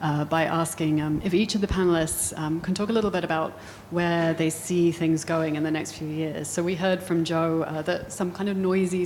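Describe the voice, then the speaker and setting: A woman with a fairly low voice moderates in a relaxed way, with fillers. A long reverb from the event hall follows her, with faint ambience behind. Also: slight background noise. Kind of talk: moderating a panel.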